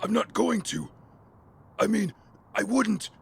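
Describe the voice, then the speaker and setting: A man's voice: high-pitched, slow pace, creaky, slight background noise, full character voice. A man playing an earnest, merciful vigilante in an audio drama, speaking with urgency. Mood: shaken, remorseful. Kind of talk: audio drama action acting.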